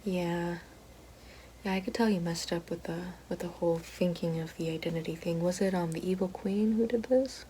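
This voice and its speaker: A woman playing a bitter, sassy fallen evil fairy working a help line, in a breathy whispered ASMR roleplay with slangy sarcasm. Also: normal speed, slight background noise, close to an everyday voice, rough recording. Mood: soft, explaining. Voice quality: breathy whisper mixed with soft low speech.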